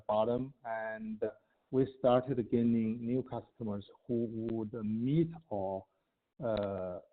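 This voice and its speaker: Halting male executive on a phone line. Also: on the low side. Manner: halting, hesitant delivery in short broken phrases. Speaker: company executive answering analyst questions. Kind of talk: unscripted earnings call answers. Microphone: conference call line. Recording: tinny, narrow phone line.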